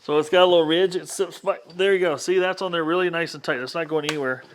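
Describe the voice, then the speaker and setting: A man's voice: fairly high pitch, fairly even intonation, fast talker, a little hiss or hum. A plainspoken, folksy man hosting a casual hands-on DIY video, talking the viewer through each step. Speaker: vlog host giving a DIY demonstration. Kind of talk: casual hands-on how-to talk.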